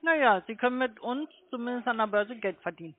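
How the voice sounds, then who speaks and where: A woman's voice: fairly high pitch, animated, quick pace. A woman making a sales call as a telemarketer over the phone. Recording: tinny narrow phone line.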